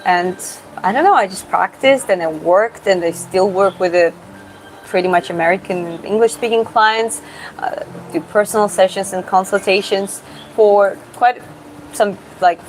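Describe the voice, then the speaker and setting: A woman's voice: mid-range voice, a little slow, a little hiss or hum, low-quality recording. A young woman from Georgia with a Georgian accent, answering viewer questions in a casual, hesitant way and often restarting her words. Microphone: phone or camera close up.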